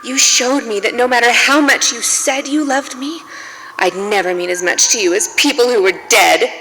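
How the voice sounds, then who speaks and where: An actress playing a detective's bitter, wounded former lover in a noir radio drama, deliberate, reproachful and melodramatic. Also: female voice, a little high, pushed and bright. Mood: bitter, hurt. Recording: long hall reverb, faint background noise.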